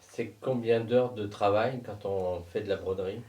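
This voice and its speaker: A male interviewer heard a little away from the microphone. His voice sits slightly low, and he puts short, informal questions to a guest in a casual studio chat. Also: normal speed, a little mellow, a little hiss or hum. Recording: voice distant from the mic.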